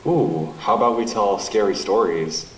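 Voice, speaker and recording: A man's voice: fairly low pitch, unhurried, natural voice. A young man acting as a cocky, teasing college student in an audio drama, with a casual, mocking manner. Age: young adult. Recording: echoey room, faint noise.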